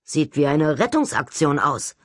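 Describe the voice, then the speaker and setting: A woman's voice: sits low, sing-song, unhurried, dry recording, clean studio sound, full character voice. Woman voicing a cool, unflappable young man. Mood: wryly amazed. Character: cool, unflappable young male leader of ghost hunters.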